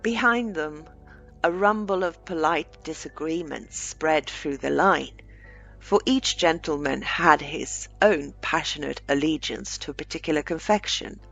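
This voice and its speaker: A woman narrating a scripted short story aloud, with deliberate phrasing and dramatic pauses. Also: low voice, creaky, fairly bright tone, soft background music, rough recording.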